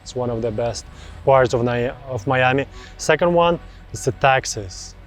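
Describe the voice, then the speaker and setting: A man in his thirties from Russia, speaking English with a Russian accent as a real estate broker, answering viewer questions in a relaxed, chatty way and often repeating words for emphasis. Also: medium pitch, measured pace, faint music behind. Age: 30s.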